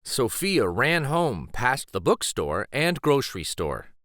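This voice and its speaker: A man reading a children's picture book aloud in an expressive, deliberate storytelling style. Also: mid-range voice, dry recording, studio quality. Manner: deliberate, animated.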